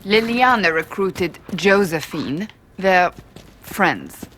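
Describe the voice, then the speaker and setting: A woman's voice: fairly low pitch, very animated, takes it slowly, noisy background. An actress playing a stern female warrior in a fantasy video game, speaking in a dry, blunt way. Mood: dry, unamused.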